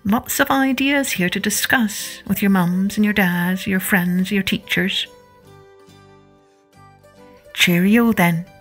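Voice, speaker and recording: A woman narrating a children's storybook as a podcast host, in an expressive, deliberate manner. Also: mid-range voice, soft background music.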